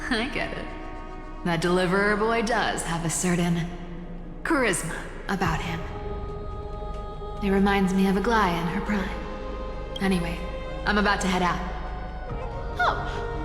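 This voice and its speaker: A woman's voice: medium pitch, lightly acted. A woman voicing a sly, breezy, worldly wanderer in a video game, with a teasing drawl and chuckles in her delivery. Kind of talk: English video game voice acting. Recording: echoey hall reverb under music.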